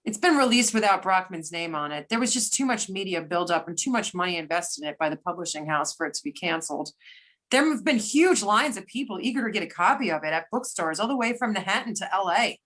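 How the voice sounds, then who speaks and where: Brisk female agent, read by a woman, wry, mid-pitched, for an amateur audio play. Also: lively intonation, a bit bright. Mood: matter-of-fact. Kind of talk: amateur readers theater over a remote call.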